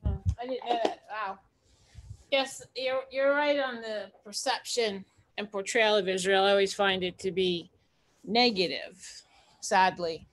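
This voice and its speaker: A woman's voice: mid-range voice, a little slow, a little hiss or hum. Woman host, friendly and brief, chatting over a video call. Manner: friendly, brief replies with encouraging remarks. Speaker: book group host. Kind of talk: casual video call chat.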